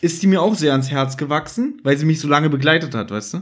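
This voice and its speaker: A man's voice: a little high, quick pace. A man chatting casually as a podcast host, explaining things at length and often tagging on questions. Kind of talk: casual chat about audio dramas.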